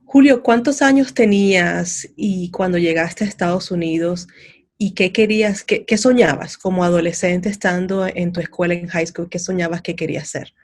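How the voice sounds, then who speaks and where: A woman's voice: on the low side, moderate pace, a little mellow. A woman hosting an interview show, asking questions in a welcoming, conversational way. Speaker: host. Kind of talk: interview host.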